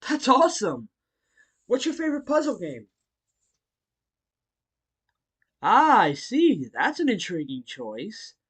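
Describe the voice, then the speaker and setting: A man voicing a friendly, bookish martial-arts hero and teacher in a fan audio roleplay, speaking politely to the listener with hesitant pauses. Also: high voice, wildly swooping pitch, a bit bright, husky, exaggerated voice. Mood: keen, friendly. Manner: polite, chuckling, hesitant pauses between phrases.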